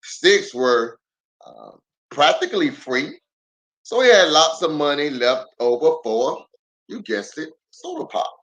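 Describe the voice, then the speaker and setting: Slow-talking, higher-voiced man hosting storytime. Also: very animated, a bit bright, low-quality recording. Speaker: pastor hosting a children's storytime. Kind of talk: livestream greeting and read-aloud storytelling.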